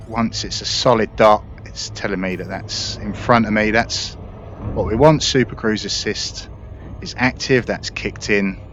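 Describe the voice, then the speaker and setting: A man's voice: on the low side, fairly bright tone. A man giving casual, explanatory gameplay commentary in a conversational way. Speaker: gaming video creator. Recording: muffled mic with faint noise.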